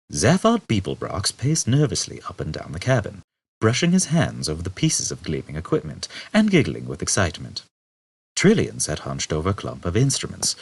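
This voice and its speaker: A man's voice: deep voice, vocal fry, slightly rough. A man narrating scripted fiction as an audiobook reader.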